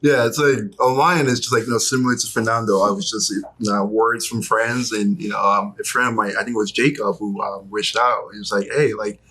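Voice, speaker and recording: Man, twenties, from Nigeria, low-ish voice, bright, relaxed, filler-heavy chat. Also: a little fast, hardly any pauses, slightly rough, low-quality recording. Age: 20s. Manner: relaxed, laughs easily, many fillers. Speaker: guest, clothing designer. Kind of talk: casual interview chat.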